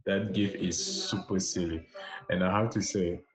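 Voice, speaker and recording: A man's voice: low-pitched, fairly even intonation, moderate pace, a crowd in the background. A man whose first language is French, hosting a casual livestream language lesson and greeting and thanking his viewers by name. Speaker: language teacher and livestream host. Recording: roomy livestream with music behind.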